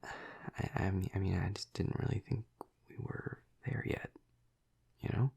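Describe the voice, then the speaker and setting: A flirty, protective male vampire, acted by a man, murmurs intimately to the listener in an audio roleplay. His low voice is very husky and breathy, thick with gravelly fry. He talks slowly and softly, with a hesitant, soothing manner. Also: exaggerated voice. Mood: gentle, explaining. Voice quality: breathy, gravelly half-whisper. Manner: slow, hesitant, soft, soothing.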